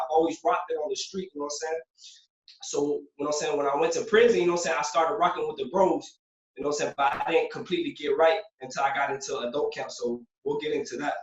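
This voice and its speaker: A young man from Puerto Rico talks fast and loose, slightly high-voiced, full of street slang and filler. He sounds set back from the mic in an echoey room, with a dull, lo-fi recording. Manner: streetwise, loose, fills with "you know what I'm saying". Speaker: guest, former prisoner. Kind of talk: casual interview storytelling. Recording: roomy, distant, dull lo-fi sound.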